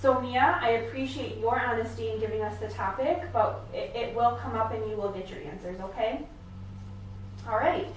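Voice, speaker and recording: A woman's voice: a little high, moderate pace, lightly acted. A woman playing an encouraging, careful family therapist in a role-played session, speaking hesitantly and affirmingly. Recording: very echoey room, distant mic, faint noise.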